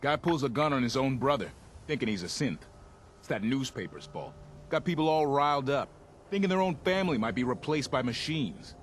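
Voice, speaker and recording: An actor playing a resigned, cautioning town guard in a video game, blunt and colloquial. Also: male voice, medium pitch, expressive, brisk, slight background noise, close to an everyday voice. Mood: exasperated.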